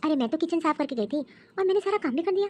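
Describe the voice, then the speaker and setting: A meek, dutiful young daughter-in-law acted by a woman in a homemade family drama. She speaks politely in a high, gentle voice, with a puzzled, deferential manner. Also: expressive, quick pace. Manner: polite, soft-spoken, puzzled.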